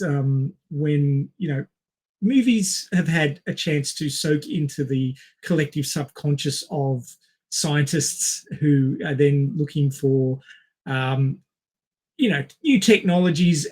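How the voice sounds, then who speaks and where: A man co-hosting a casual film discussion, explaining things in a wordy, halting way with tangents. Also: a little high, fairly bright tone. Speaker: podcast co-host.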